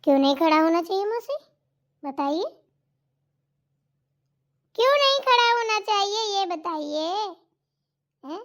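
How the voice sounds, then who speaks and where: A woman voicing a cheeky, sharp-tongued young daughter-in-law in a cartoon comedy, mocking and talking back. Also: very high voice, animated, unhurried, long pauses, full character voice. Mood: defiant. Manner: mocking, talks back, teasing. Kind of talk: Hindi cartoon comedy voice acting.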